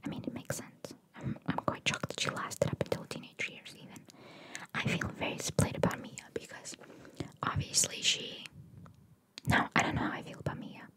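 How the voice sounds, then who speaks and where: A woman whispering in a breathy voice, reading trivia for ASMR and adding chatty, informal asides. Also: moderate pace, low-quality recording. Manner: informal, chatty, reacting to what is read. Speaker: ASMR host reading video game trivia.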